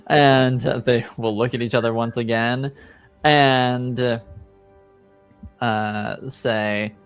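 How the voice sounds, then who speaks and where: A man with a smooth, clear voice narrates as game master and voices dialogue off the cuff. He speaks slowly and haltingly, searching for words, with faint music behind him. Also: mid-range voice. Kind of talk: improvised tabletop narration and character dialogue.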